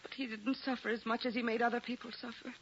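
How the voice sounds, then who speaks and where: An actress playing a distraught, bitter, murderous wife in a 1940s radio melodrama, intense and overwrought. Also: female voice, on the high side, little pitch movement, fast, noisy background, close to an everyday voice. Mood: hysterical, defiant. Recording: dull lo-fi old radio recording.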